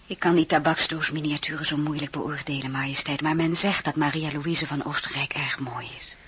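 A princess at an imperial court in a radio drama. Her voice sits a little low, caring and plain-spoken, with courteous formality. Clear background noise sits around the recording. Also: steady tone, a little fast, a bit bright. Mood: wry, reserved. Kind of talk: Dutch audio drama acting.

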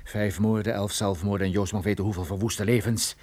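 An actor playing a tough, sardonic private detective in a radio drama, menacing and dryly sarcastic. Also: male voice, lowish voice, fairly even intonation, a little fast, a little mellow, natural voice. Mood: cold, menacing. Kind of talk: radio drama acting with first-person narration.

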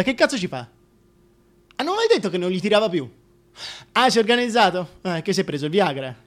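A man's voice: very high voice, fairly bright tone. A man acting a scheming, foul-mouthed, two-faced political fixer in a solo radio play, ranting and sarcastic. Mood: irritated, haggling.

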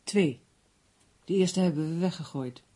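An actress playing an earnest, worried crime novelist in a Dutch radio play, speaking seriously and insistently. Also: female voice, lowish voice, measured pace, warm tone, breathy edge.

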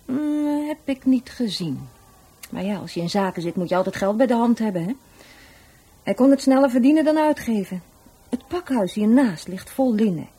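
A woman's voice: a little high, lively intonation, normal speed, warm tone, slightly rough. An actress playing a blunt, cynical woman in a radio drama, with a dry, nonchalant way of talking. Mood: dismissive. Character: blunt, offhand, cynical sister of a murder victim.

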